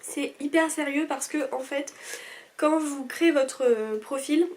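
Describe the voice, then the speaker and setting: A woman's voice: high voice, a little slow, a little mellow. A woman in her twenties with a blocked, stuffy nose from a cold, chatting casually and rambling with many fillers as she reviews products. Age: 20s. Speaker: beauty vlogger.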